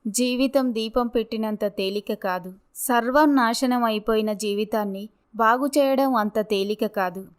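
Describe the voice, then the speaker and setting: A woman's voice: a little high, measured pace, clear, resonant tone, close to an everyday voice. A woman playing a dignified, earnest young mother abandoned by her husband, polite in manner, in a dramatised story. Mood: despondent.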